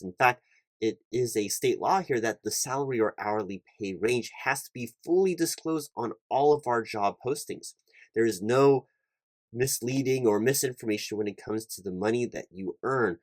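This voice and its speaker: A man playing an earnest, slightly flustered recruiting manager in a one-sided job interview roleplay, speaking in halting, stammering corporate talk. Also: medium pitch, dry recording.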